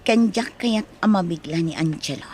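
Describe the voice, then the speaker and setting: A woman's voice: lowish voice, normal speed, a little mellow, slight background noise, natural voice. A woman playing a firm but forgiving married mother in a radio drama, with an Ilocano accent. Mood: apologetic, firm.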